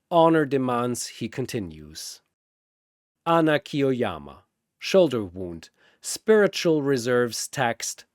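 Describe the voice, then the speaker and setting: Slow, dramatic male battle narrator. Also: medium pitch, no room sound, studio quality. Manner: theatrical, clipped phrases.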